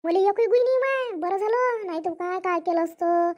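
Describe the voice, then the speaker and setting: Cartoon older farm woman, played by a woman: extremely high pitch, Varhadi Marathi accent, bossy, chatty, rustic. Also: normal speed, a little mellow, muffled recording. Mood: matter-of-fact. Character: bossy, hardworking older village woman, farm labour leader. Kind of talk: comic cartoon dialogue.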